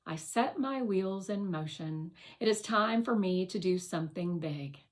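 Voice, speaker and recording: Slow, careful woman reading a children's story. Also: fairly low pitch, lively intonation. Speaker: read-aloud narrator. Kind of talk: scripted children's novel narration.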